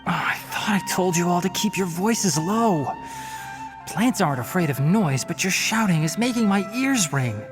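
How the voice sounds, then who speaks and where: A man's voice: high-pitched, expressive, normal speed, music in the background. A man voicing a stern, scholarly young male forest ranger who speaks in a precise, lecturing way, in a game cutscene. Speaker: forest watcher.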